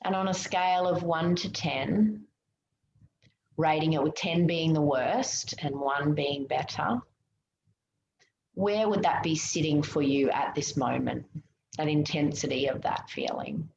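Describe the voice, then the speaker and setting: A woman leading a guided meditation in a soothing, gentle way, giving unhurried instructions with pauses. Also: low voice, vocal fry. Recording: muffled, roomy, noticeable background hiss.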